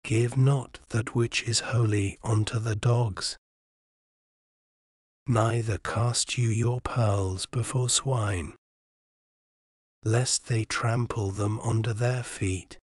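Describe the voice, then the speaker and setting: A man reading scripture aloud in a measured, soothing bedtime manner, with long pauses between phrases. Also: medium pitch, dry recording, studio quality. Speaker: scripture reader. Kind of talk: slow bedtime Bible reading.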